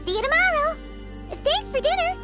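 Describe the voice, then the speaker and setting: A woman's voice: very high voice, expressive, moderate pace. A woman voicing a tiny, cheerful fairy companion who talks about herself in the third person, in a video game. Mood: cheery. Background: game music.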